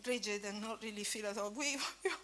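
A woman's voice: medium pitch, brisk, fairly bright tone. A woman in her fifties, a native Italian speaker with an Italian accent touched by Scottish, giving an informal sermon at a church breakfast with many fillers and restarts. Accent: Italian with a bit of Scottish. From Italy. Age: 50s.

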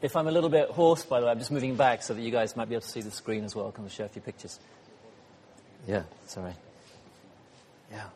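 A slightly hoarse man gives a sermon as guest speaker, chatty and self-deprecating, with frequent ums and uhs. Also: mid-range voice, steady tone, fast talker, long pauses, darkish tone, a little hiss or hum. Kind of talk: sermon with light humor. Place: church hall. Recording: church hall reverb.

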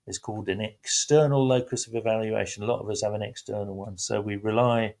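Guy, low gravelly voice, easygoing reflective chat about faith. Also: steady tone, fairly quick. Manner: reflective, talks with gentle emphasis.

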